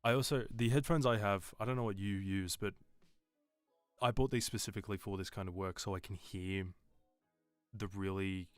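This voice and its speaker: A man with a lot of bass in his voice, chatting casually on a live stream and giving feedback with loose phrasing and plenty of fillers. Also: fairly even intonation, normal speed, clear, resonant tone, no echo, faint music behind. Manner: rambling, loose, with many fillers and restarts.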